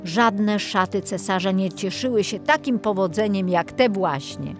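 A woman narrating a children's fairy tale as an audiobook, reading from a script in an expressive storytelling manner. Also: mid-range voice, measured pace, soft background music.

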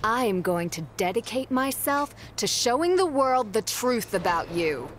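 Bitter rival wrestler girl, sneery, a bit high, faint hum behind. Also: sing-song, measured pace. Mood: resentful. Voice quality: sneering, resentful.

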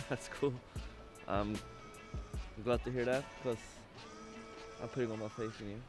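A man's voice: mid-range voice, steady tone, a little slow, over music. A young man chatting and joking as he films himself outdoors on a hiking trip, camera held at arm's length. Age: young adult. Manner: upbeat, joking, narrating to camera. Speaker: vlogger. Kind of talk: casual travel vlog chat. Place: outdoors by a fjord and on a mountain.

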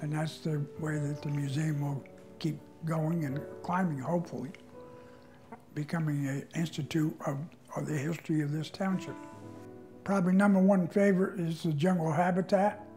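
Elderly man with a very mellow voice. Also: a little high, fairly even intonation, normal speed, rough recording. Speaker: museum treasurer, interviewee. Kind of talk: documentary interview. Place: indoors, wood-panelled room. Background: faint instrumental music.

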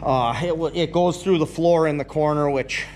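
Middle-aged farmer, rambling plainspoken storyteller, a bit high-voiced, in a barn with a faint hiss. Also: male voice, moderate pace, darkish tone. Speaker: farmer vlogger. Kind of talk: casual walkthrough narration. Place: inside a large old wooden barn. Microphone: action camera, held or set nearby.

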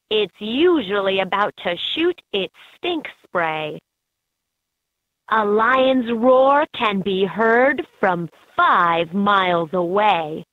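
A woman reading scripted educational narration for a children's game in an expressive, instructive way. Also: a little high, no echo. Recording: slightly dull, lo-fi game audio.

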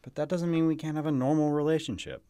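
An actor playing a needy, eager praying mantis boyfriend in an animated film, talking in a pleading, excitable, naturalistic way. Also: male voice, on the high side, fast, clean tone, dry recording, clean studio sound, lightly acted. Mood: pleading, frustrated.

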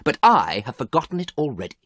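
Man playing callous young gentleman, storybook character, haughty, theatrical, raised pitch, hugely varied intonation. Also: wildly swooping pitch, a little slow, no echo, full character voice. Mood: angry, cold. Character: vain, callous young gentleman, bored and quick-tempered.

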